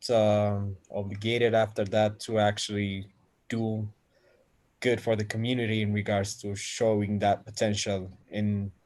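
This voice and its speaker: A man's voice: on the low side, flat delivery, unhurried, darkish tone. A man from Ethiopia, an engineer, answering interview questions with many fillers and restarts. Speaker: engineer and innovation center founder.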